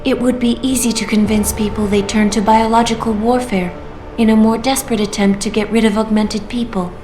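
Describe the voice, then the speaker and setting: A woman voicing a female artificial intelligence in a video game, speaking in a smooth, measured and coolly persuasive way. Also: on the high side, steady tone, background noise, lightly acted. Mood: calm persuasion. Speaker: AI guiding the player through a choice. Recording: roomy game audio with clear music.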